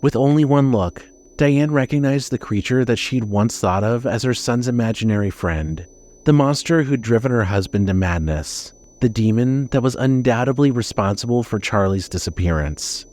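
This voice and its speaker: A man's voice: mid-range voice, lively intonation, normal speed, darkish tone. A man narrating a scripted horror story with dramatic storytelling delivery.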